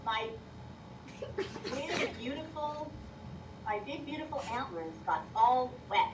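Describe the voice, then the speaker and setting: An exclaiming storybook moose with proud antlers, acted out by a woman reading aloud. The delivery is expressive and exaggerated, caught from a distance in a noisy room. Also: high voice, slow pace, echoey room, pitched above the performer's own voice, full character voice. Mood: amazed. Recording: noisy classroom, distant microphone.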